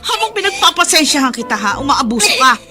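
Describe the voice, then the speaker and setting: A woman's voice: high-pitched, big pitch swings, fast talker, music in the background. An actress playing Angie, a long-suffering mother back from working abroad, in a radio drama. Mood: anguished, shouting. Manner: pleading, turning to anguished shouting.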